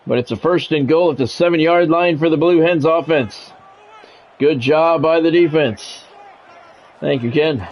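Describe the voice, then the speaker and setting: A man's voice: fairly high pitch, a little slow, darkish tone. A man streaming a sports video game, switching between play-by-play commentary and casual chat. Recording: dull lo-fi audio, music behind.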